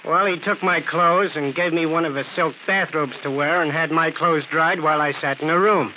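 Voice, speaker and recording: An actor in a 1940s radio drama playing a wary, plain-spoken young messenger in jail, blunt and slangy. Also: male voice, fairly high pitch, fairly even intonation, normal speed, natural voice. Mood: earnest. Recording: muffled, hissy 1940s radio recording.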